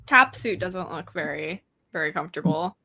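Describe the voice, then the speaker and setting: A woman from California chats as a guest on a casual livestream. She is bubbly and laughs easily, and her pitch bounces around a lot. She says "like" often. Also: expressive, normal speed, creaky, crowd noise. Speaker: guest co-host.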